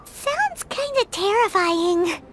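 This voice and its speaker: A woman voicing a tiny, cheeky floating fairy child in a video game, squeaky and brash, with music behind her. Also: very animated, slow, exaggerated voice. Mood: wary. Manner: brash, exclamatory, refers to self in third person. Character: tiny floating fairy-like girl companion, cheeky and easily indignant. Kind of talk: video game character acting.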